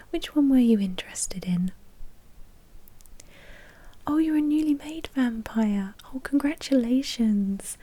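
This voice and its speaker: A woman's voice: a little high, dark tone. Woman playing a whispering, chatty vampire bank manager. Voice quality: breathy whisper. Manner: chatty, politely officious. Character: chatty, brisk female vampire bank manager, gossipy and officious. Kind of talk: whispered ASMR roleplay.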